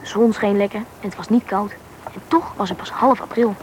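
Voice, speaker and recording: A young performer playing a lively thirteen-year-old Russian boy who narrates and acts in a radio drama, with a slightly husky boyish voice. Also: medium pitch, normal speed, a little hiss or hum, lightly acted. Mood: reflective storytelling. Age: young teen. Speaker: main character and narrator of a radio play. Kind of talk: radio drama storytelling and dialogue.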